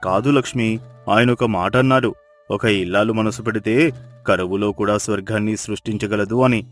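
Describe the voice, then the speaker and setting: A man's voice: lowish voice, fairly even intonation, normal speed, soft background music, close to an everyday voice. A man voicing a hardworking, devoted village husband, tender and admiring, in a moral story. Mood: proud.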